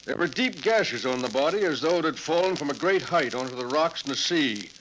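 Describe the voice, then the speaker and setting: A man's voice: on the high side, expressive, fairly quick, a bit bright. A man playing a firm, suspicious small-town sheriff who questions pointedly in a 1940s radio drama. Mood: businesslike, suspicious. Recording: crackly 1940s radio recording.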